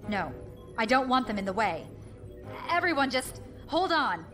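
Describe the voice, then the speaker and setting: A woman playing a decisive young pirate clan leader in an audio drama, with a brisk, commanding delivery. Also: fairly high pitch, very animated, sharp tone, faint music behind. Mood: tense, determined.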